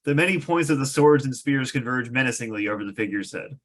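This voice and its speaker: Medium-pitched man narrating a fantasy script. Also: lively intonation, fast talker, fairly bright tone. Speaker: narrator reading stage directions.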